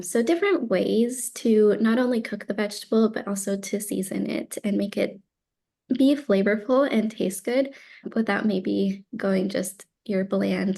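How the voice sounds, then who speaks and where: A woman guest, a dietitian, talks through her work in an upbeat, explanatory way. Her voice sits at a mid pitch with a noticeable gravelly fry. She drops in casual fillers as she goes. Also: darkish tone. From Texas, born in Colombia. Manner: enthusiastic, explanatory, with frequent fillers. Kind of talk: casual interview talk.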